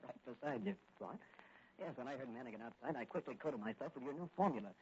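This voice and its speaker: An actor playing a bold, confident young crime-fighting policeman in a brisk, commanding 1940s radio style. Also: medium pitch, very animated, gravelly, a little mellow. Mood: eager. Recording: muffled, hissy 1940s radio recording.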